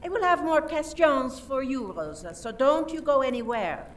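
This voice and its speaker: An actress playing a suspicious detective with a deliberate, theatrical, probing manner in a radio play. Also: female voice, high voice, lively intonation. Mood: probing, skeptical. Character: suspicious French detective methodically questioning suspects. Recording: distant microphone, faint background noise.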